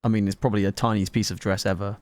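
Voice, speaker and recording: A gaming vlogger giving casual, excitable playthrough commentary with playful asides and exclamations. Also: deep voice, very fast, no echo. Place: indoors at a computer.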